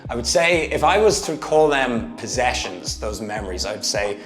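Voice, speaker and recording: Man, thirties, upbeat motivational vlogger; roomy echo, soft music bed. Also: moderate pace. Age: 30s. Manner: energetic, emphatic, swings from loud bursts to low asides. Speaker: fitness YouTuber. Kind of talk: motivational vlog monologue. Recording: echoey room with faint music. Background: faint background music.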